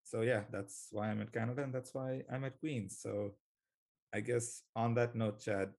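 A man's voice: medium pitch, steady tone, normal speed, vocal fry, darkish tone. A young man from Bangladesh, a graduate student, talking in a thoughtful, hesitant way on a podcast. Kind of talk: conversational podcast talk.

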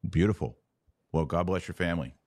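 Middle-aged man, low-pitched podcast host. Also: fairly quick, darkish tone, dry recording. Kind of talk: casual interview talk.

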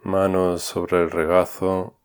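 Man, guided meditation, very deep low voice, calm and unhurried. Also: clean tone. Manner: calm, unhurried instructions with long pauses. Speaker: meditation instructor.

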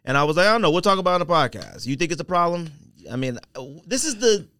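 An American man hosts a casual podcast in a lively voice that swings widely in pitch. The sound is dry and close. He talks in loose slang, often throwing out questions and exclamations. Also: rapid-fire, clean tone, no echo. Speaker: podcast host. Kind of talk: casual podcast chat about sneakers.

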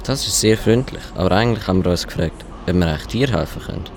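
A man's voice: low voice, lively intonation, fast talker. A male performer with a Swiss German accent playing an easily flustered man in an amateur radio play. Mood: hesitant, kindly. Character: English family father and grumbling taxi driver, easily flustered. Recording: clear outdoor traffic-like background noise.

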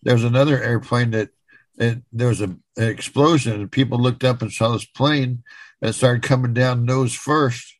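A close, dry recording of a man with a mid-pitched voice. He chats casually as a podcast co-host, retelling odd news items. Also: steady tone, moderate pace, dry recording.

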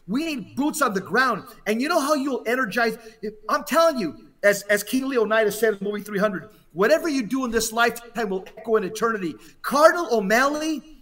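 Man, very high-pitched, bright forceful voice, religious podcast commentary, urgent, emphatic, preachy, repeats phrases. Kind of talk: impassioned religious exhortation.

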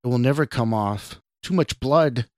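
A man's voice: medium pitch, moderate pace, darkish tone, no room sound, studio quality, natural voice. A man voicing a distraught, panicking man who is losing his mind, agitated and pleading, in an audiobook. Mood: haunted despair. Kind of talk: audiobook character voice.

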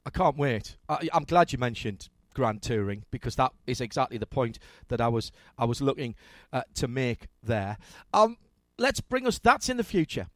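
A man's voice: medium pitch, very animated, normal speed, no room sound. A man hosting a motorsport interview show, enthusiastic and upbeat. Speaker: radio show host.

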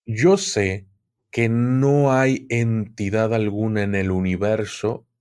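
Guy in an interview talking real slow, lowish voice, stumbles and repeats words a lot. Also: fairly even intonation, a little mellow, clean tone. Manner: hesitant, repeats words while searching for them. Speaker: occultism expert guest. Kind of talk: conversational explanation in an interview.

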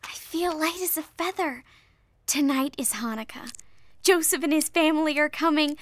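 Woman playing a very high-voiced, joyful young bride-to-be. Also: normal speed, dry recording, clean studio sound, close to an everyday voice. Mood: joyful, excited. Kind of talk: dramatized Bible audio story acting.

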